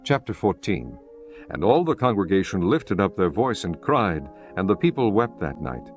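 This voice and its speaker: A man narrating scripture from a script in a solemn, measured manner. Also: low voice, sing-song, faint music behind.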